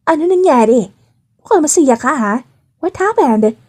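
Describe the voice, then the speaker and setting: A nosy young office girlfriend in an audio drama, voiced by a woman narrator. Her pitch is very high, with a soft tone. She teases and scolds in a chummy, gossiping way. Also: animated, moderate pace. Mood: curious. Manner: scolding, teasing. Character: nosy, teasing young female office friend.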